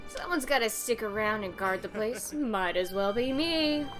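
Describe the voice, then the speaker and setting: A woman's voice: fairly high pitch, animated, moderate pace, over music, close to an everyday voice. A woman reading game dialogue as a cocky young man who plays it cool. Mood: cool, nonchalant. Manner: laid-back, swaggering.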